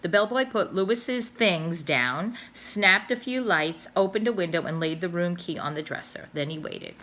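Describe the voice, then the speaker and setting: A teacher reading a children's novel aloud, telling the story with animated expression. Her voice is bright and crisp, swinging widely in pitch as she narrates. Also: measured pace.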